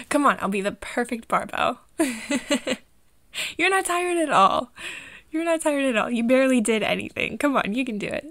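A woman's voice: high voice, animated, a little slow, long pauses, a bit bright, no room sound, studio quality, exaggerated voice. A woman playing an affectionate, teasing girlfriend cheering on her man in a playful, encouraging ASMR roleplay. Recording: music behind the voice.

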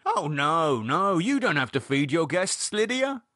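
A man's voice: fairly high pitch, big pitch swings, moderate pace, fairly bright tone, no echo, studio quality, voice pushed up. A man voicing an irritated, sarcastic, no-nonsense man with a British accent and a dismissive, colloquial manner, as in an audiobook. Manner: dismissive, mocking, exasperated, colloquial. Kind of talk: audiobook character dialogue.

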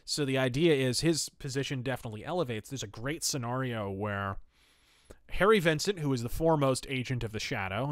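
A man's voice: medium pitch, fairly bright tone, no echo, clean studio sound. A man hosting a review podcast, talking casually and enthusiastically with emphatic, colloquial storytelling. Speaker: host of a pulp fiction review podcast.

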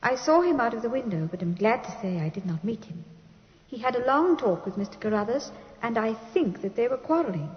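A woman playing a refined, anxious young British gentlewoman reading a letter aloud in 1950s radio drama. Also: a little high, animated, fairly quick, natural voice. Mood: composed, uneasy. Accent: British English. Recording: muffled 1950s radio, long reverb.

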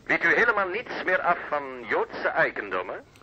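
An actor playing a menacing, taunting blackmailer in a radio play, heard through a telephone filter. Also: male voice, on the high side, very animated, moderate pace, pushed and bright, a little hiss or hum, heavily acted. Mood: mocking menace. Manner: taunting, threatening. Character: menacing, mocking blackmailer, an old nazi. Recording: band-limited telephone line sound.

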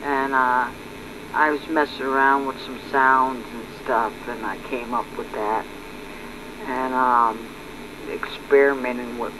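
A man talking casually and unscripted, halting and rambling, often repeating his words, as a hobbyist narrating his home video. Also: medium pitch, steady tone. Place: indoors at home. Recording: clear background noise.

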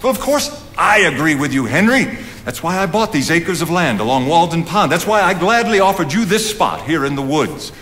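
An animated older philosopher mentor. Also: male voice, fairly high pitch, normal speed, hardly any pauses. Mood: emphatic, urging. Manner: oratorical, emphatic. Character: older philosophical mentor, lofty, persuasive 19th-century gentleman. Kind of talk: theatrical stage acting. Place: theatre stage, indoors. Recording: live theatre, reverberant, faint noise.

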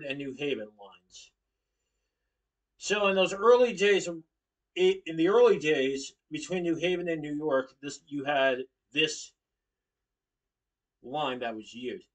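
A young man from Connecticut giving an informative class speech at home, standing and gesturing, sometimes correcting himself. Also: on the high side. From Connecticut, USA. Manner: standing, gesturing while speaking, occasional self-corrections. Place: living room at home, indoors. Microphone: webcam across the room. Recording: voice from a distance.

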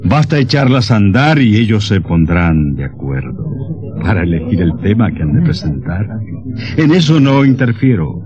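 An actor playing a proud, touchy music professor and inventor, theatrical and deliberate, in an old radio drama. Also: male voice, fairly low pitch, big pitch swings. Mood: self-important. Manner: theatrical, self-important, deliberate.

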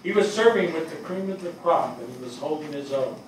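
Older actor as a grieving fire captain, earnest, halting, higher-pitched male voice, distant mic, stage reading. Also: animated, natural voice. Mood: earnest, eulogizing. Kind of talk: dramatic stage reading of a play. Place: small theatre stage before seated audience. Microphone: distant camera microphone. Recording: echoey hall, distant mic, clear noise.

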